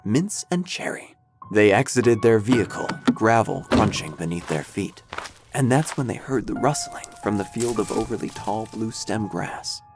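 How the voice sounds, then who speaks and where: A man performs a quirky, nosy obituary writer in a comedic audio drama. His delivery is solemnly absurd, deadpan and theatrical, with crisp wording and very lively swings of a mid-pitched voice. Music plays clearly beneath the narration. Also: lightly acted. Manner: deadpan, theatrical, precisely enunciated.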